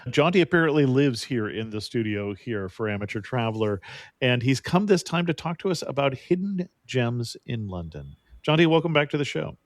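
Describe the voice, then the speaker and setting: A man's voice: mid-range voice, sing-song, normal speed, no room sound, clean studio sound. A man hosting a travel interview podcast, asking his guest short, friendly questions. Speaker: podcast host. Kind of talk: casual interview.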